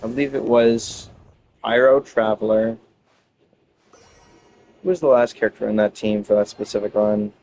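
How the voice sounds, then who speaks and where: A man's voice: lowish voice, fairly even intonation, moderate pace, pauses often, a little mellow, slight background noise, rough recording. A man giving casual gameplay commentary, rambling and thinking aloud as he goes. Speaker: gamer commenting on own playthrough.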